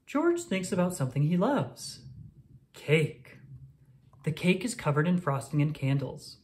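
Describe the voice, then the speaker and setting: A woman with a low-pitched voice narrates a children's picture book. Her intonation swings widely from phrase to phrase, and she delivers each line deliberately and expressively for young listeners. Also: big pitch swings, darkish tone. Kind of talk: scripted read-aloud narration of a picture book.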